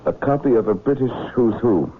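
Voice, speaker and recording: An actor playing a businesslike island magistrate in a 1970s radio drama, terse and matter-of-fact. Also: male voice, medium pitch, animated, brisk, breathy edge, narrow, tinny audio. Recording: thin, band-limited vintage radio recording.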